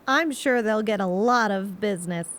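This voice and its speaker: A woman's voice: medium pitch, moderate pace, fairly bright tone, close to an everyday voice. A woman playing a sensible, no-nonsense young assistant with a wry, matter-of-fact manner in a comic audio drama.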